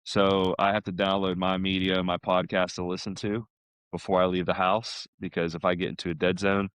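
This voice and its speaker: Casting: American man, middle-aged, low register, loose chatty storyteller, dry sound. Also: little pitch movement, normal speed, fairly bright tone, clean tone, no echo. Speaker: podcast guest, salesman. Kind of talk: casual chat.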